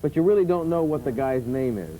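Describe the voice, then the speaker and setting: A male talk show host in casual interview conversation. His voice is mellow and a little high, with faint background noise. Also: expressive, fast talker, rough recording.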